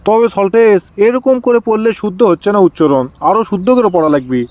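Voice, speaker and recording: A man's voice: high voice, sing-song, fast, darkish tone, no echo. A man voicing a pious, fatherly village Quran teacher who corrects his pupil, in comic cartoon dialogue with a Bogura accent from northern Bangladesh. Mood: correcting. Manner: instructive, correcting.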